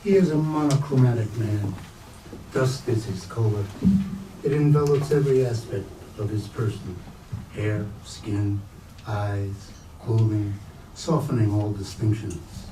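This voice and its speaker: A man's voice: on the low side, unhurried. A man reading literary prose aloud at a staged reading. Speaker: reader at a staged book reading. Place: bookstore event space, indoors. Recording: roomy, distant mic, faint noise.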